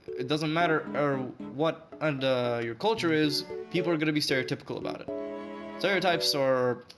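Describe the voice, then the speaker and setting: A man's voice: on the high side, moderate pace. A man from Saudi Arabia with an English accent, talking in a rambling, hesitant voice-over with many fillers and restarts. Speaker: gaming video creator. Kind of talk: unscripted voice-over commentary. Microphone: voice-over over gameplay footage. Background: guitar music.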